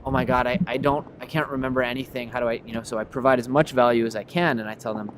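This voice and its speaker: Male podcast host chatting over clear noise. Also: medium pitch, steady tone, rapid-fire. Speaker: podcast host and memory expert. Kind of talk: casual conversational discussion.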